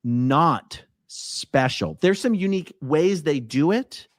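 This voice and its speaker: A man interviewing guests in relaxed, agreeable conversation. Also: on the high side, takes it slowly, dry recording, studio quality.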